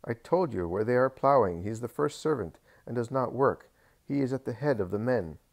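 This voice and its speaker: A man voicing a greedy, proud rich landowner with a squeaky, fox-like voice, pleading and boasting. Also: expressive, brisk, gravelly. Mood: evasive.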